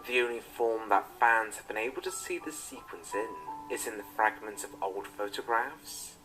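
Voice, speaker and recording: A man's voice: lowish voice, normal speed, sharp tone, soft background music, rough recording. A man reading scripted narration as the narrator of a film documentary piece.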